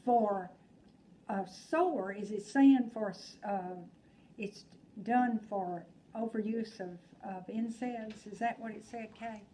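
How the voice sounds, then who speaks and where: A woman instructor lecturing a class, thinking aloud with frequent pauses and restarts. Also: mid-range voice, dark tone, slight background noise, rough recording. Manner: unhurried, thinking aloud, hesitant. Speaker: nursing instructor.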